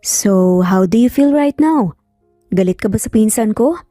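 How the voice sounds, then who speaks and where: Woman playing a gentle, mellow-voiced loyal male friend. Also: mid-range voice, animated, no room sound, studio quality, soft background music, lightly acted. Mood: probing. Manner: gentle, measured. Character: calm, protective young man, loyal friend and cousin.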